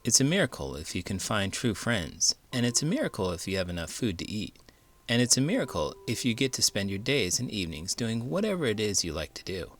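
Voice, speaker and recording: A man hosting a children's read-aloud, narrating in an expressive, theatrical storytelling style. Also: lowish voice, a little fast.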